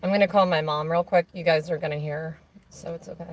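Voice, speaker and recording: A woman with a hoarse, raspy voice chatting casually on a live stream, rambling with many fillers and restarts. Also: deep voice, steady tone, quick pace. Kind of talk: casual chat on a phone call during a stream.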